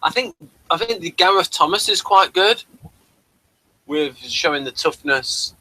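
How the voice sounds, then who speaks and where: A man hosting a casual review chat, explaining things in a halting way and often restarting mid-thought. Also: on the high side, expressive, bright, forceful tone, low-quality recording. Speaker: podcast host.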